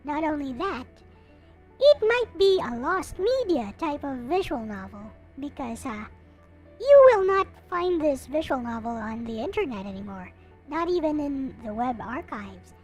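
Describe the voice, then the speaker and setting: A woman hosting a live stream in casual chat, rambling along with frequent hesitant pauses. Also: high-pitched, sing-song, a little mellow, soft background music.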